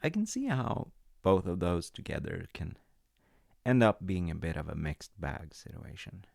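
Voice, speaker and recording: A man voicing a caring, patient father in an audio roleplay. He talks softly and reassuringly, sometimes dropping to a whisper. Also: deep voice, sing-song, vocal fry, no room sound, studio quality, heavily acted. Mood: gentle. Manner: soft, reassuring, unhurried, drops to whispers at times. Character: caring middle-aged dad, gentle and patient. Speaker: voice actor.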